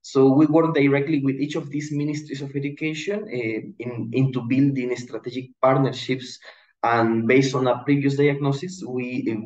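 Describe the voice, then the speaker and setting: A man in his thirties from Ecuador, giving a measured panel presentation over a video call, with frequent restarts. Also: mid-range voice, darkish tone, lo-fi sound. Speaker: education manager, panelist. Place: indoor room. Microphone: video call webcam.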